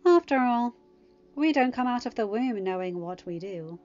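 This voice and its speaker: A woman's voice: fairly high pitch, darkish tone, muffled recording. A woman voicing a haughty, snobbish grandmother queen who speaks with disdain. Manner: disdainful, scoffing.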